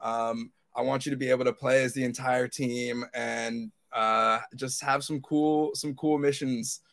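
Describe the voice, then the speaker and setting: A man's voice: medium pitch, steady tone, a little slow, a bit bright. A man chatting casually and informally as a podcast panelist. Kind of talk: casual chat.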